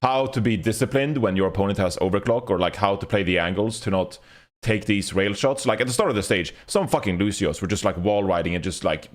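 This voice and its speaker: A man's voice: fairly low pitch, brisk. A man co-hosting an esports podcast, analysing the game in casual chat.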